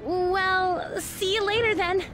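An actress playing an apologetic, gossipy high school girl in an English video game dub. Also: fairly even intonation, slow pace, music in the background.

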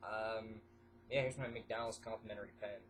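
A man's voice: on the low side, fairly even intonation, moderate pace, slight background noise. A teenage boy with a stuffy nose vlogging casually to a webcam in his small bedroom, rambling with lots of fillers and jumping from topic to topic. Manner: rambling, filler-heavy, jumps between topics. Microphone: webcam at desk.

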